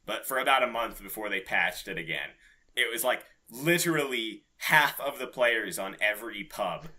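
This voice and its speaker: A man co-hosting a podcast in casual gaming chat, quick to laugh as he talks. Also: on the low side, animated, sharp tone. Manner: laughs readily while talking. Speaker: podcast co-host.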